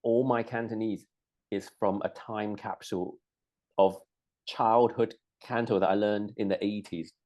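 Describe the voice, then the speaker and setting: A middle-aged man, born in Hong Kong, chatting casually, hesitant with lots of ums and restarts and quick to laugh. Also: lowish voice, steady tone, a little mellow, muffled recording. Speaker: co-host. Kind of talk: casual chat.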